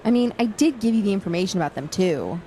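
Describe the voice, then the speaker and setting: A woman playing a supportive student journalist, gentle and lightly sarcastic, in naturalistic audio drama. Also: medium pitch, lively intonation, fast talker, slight background noise, natural voice, rough recording. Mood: light, bantering. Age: young adult.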